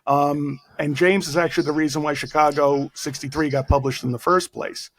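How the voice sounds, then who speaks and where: A man with a Bronx accent talks as an author guest on an interview podcast. His voice sits a little high for a man, and he speaks in brisk, self-deprecating runs. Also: level tone. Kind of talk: casual interview conversation.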